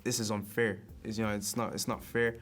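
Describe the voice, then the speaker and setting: Low, flat-voiced young man. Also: fairly quick. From Nigeria. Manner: relaxed, reflective, chuckles often. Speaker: rapper. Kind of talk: documentary interview.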